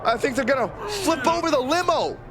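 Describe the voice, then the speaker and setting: A man voicing a wrestling commentator, calling the action with excited exclamations. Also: extremely high pitch, brisk, loud music behind the voice. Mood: commentary. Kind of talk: sports commentary.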